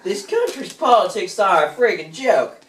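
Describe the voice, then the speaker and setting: A man's voice: high voice, sing-song, moderate pace, a bit bright. A man voicing a weary, whining, slangy French soldier griping to his sweetheart in an amateur puppet show, recorded distantly in a room with music. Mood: fed up. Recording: distant roomy mic, music.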